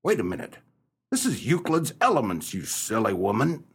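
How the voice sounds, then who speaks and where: An actor playing a troubled old farmer in a comic radio melodrama, husky and lamenting theatrically. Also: male voice, lowish voice, huge pitch swings, moderate pace, full character voice. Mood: tender, then irritated. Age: older.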